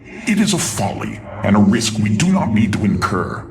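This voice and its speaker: A man's voice: on the low side, very animated, moderate pace. An actor voicing a haughty, sardonic male alien warlord in a dramatic video game, with theatrical disdain. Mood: scornful. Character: scornful, haughty male alien warlord counseling ruthless destruction.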